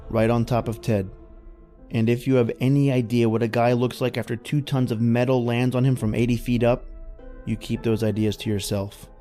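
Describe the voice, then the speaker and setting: A man's voice: medium pitch, mellow, natural voice. Folksy haunted oil-rig man, acted by a man, music underneath. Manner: folksy, slangy, hesitant storytelling. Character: plain-spoken middle-aged former oil-rig worker recalling horrors. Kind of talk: old-time radio horror monologue.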